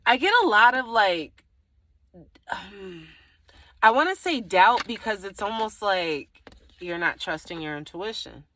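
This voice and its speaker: A woman's voice: mid-range voice, expressive, measured pace, bright, forceful tone, a little hiss or hum. A woman giving a casual, improvised tarot reading to the viewer, thinking aloud in a rambling way, often trailing off and circling back. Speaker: tarot reader.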